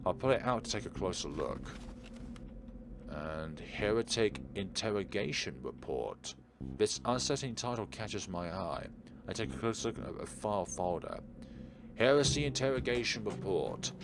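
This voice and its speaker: A man's voice: lowish voice, expressive, normal speed. A man hosting a game playthrough, reading visual novel text aloud and breaking into offhand asides. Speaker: gaming video host. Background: prominent game music.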